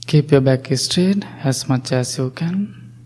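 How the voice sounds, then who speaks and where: A man, a Buddhist monk, gently guiding a meditation group with calm instructions, long pauses and non-native English grammar. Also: medium pitch. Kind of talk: guided meditation.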